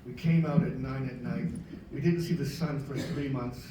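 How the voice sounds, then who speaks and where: A man's voice: medium pitch, moderate pace. A man actor telling joking anecdotes on a convention panel. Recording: very echoey hall, distant mic, loud noise.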